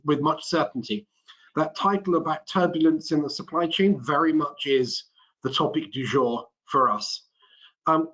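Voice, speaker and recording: A middle-aged man, a chief executive, presenting to a business webinar in a measured corporate manner. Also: a little high, a bit bright. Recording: muffled webinar audio.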